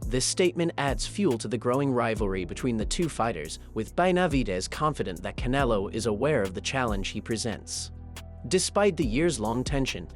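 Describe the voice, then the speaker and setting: A man reading scripted sports news narration in an even, steady way. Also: medium pitch.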